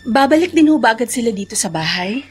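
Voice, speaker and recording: Woman acting an earnest, high-voiced daughter. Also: animated, fast, a little mellow, slight background noise, close to an everyday voice. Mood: respectful, apologetic. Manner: polite, earnest. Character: forgiving, earnest youngest daughter, respectful and pleading. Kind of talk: radio drama acting.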